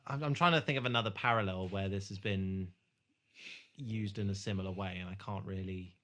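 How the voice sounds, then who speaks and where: A man with a fairly low voice hosts a casual podcast conversation about film. He speaks tentatively, feeling his way through thoughts and putting questions to others. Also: a little fast, pushed and bright. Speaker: podcast host.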